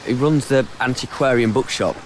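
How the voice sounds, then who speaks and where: A man's voice: medium pitch, moderate pace, a little hiss or hum, natural voice, rough recording. An actor playing a jittery, questioning young-sounding film crew man in a naturalistic audio drama. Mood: wary. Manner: anxious, questioning. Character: jittery, anxious male film crew member.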